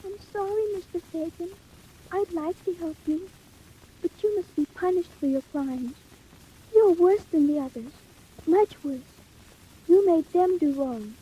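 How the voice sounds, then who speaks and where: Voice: very high voice, lively intonation, unhurried, warm tone, muffled recording. A performer playing a small, polite orphan boy, timid but earnest, in a 1940s audio drama. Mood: earnest, sad. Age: child character. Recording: faintly noisy vintage 1940s record.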